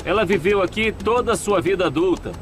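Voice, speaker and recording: A man voicing a show host in a dubbed documentary, narrating and asking questions in a measured, grave, explanatory way. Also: high-pitched, natural voice. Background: background music.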